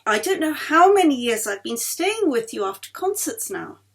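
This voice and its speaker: A woman's voice: high voice, very animated, moderate pace, gravelly, exaggerated voice. A woman voicing a polite, reticent woman musician nearing forty in a storybook, in a courteous, hesitant manner. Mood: grateful.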